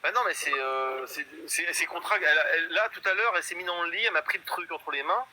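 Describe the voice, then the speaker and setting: Man, fifties, boastful, rambling, hesitant, fairly high voice, very bright tone. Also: quick pace, slight background noise. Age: 50s. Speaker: guest in a live stream chat. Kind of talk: casual chat.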